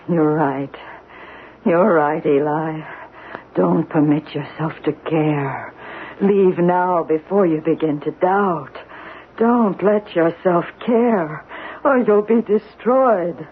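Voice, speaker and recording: An actress playing a tormented female scientist, a despairing prophet of doom, in halting, dramatic 1970s radio drama style. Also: on the low side. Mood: ominous, pleading. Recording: muffled, noisy old radio broadcast.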